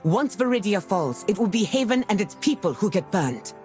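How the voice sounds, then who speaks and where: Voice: medium pitch, sing-song, normal speed, darkish tone, close to an everyday voice. Actor doing a stern envoy fighter from a game cutscene, curt n formal, music playing behind. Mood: formal, urgent. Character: stern, proud loyal envoy warrior serving a lord. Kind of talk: dramatic video game cutscene acting.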